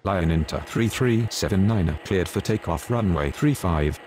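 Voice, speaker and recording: A man plays an airport radio operator in a simulator game with a very deep voice. He is businesslike and clipped, delivering procedural calls over faint background noise. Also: animated, moderate pace, a little mellow. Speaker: pilot and air traffic controller radio voice. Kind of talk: scripted aviation radio phraseology.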